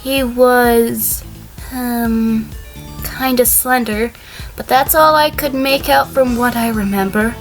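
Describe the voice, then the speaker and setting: A woman acting as a cagey female defendant on the witness stand in a courtroom drama. Her voice is on the high side, prickly and defiant yet prone to stammer, with music behind it. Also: steady tone, slow. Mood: recounting, uneasy.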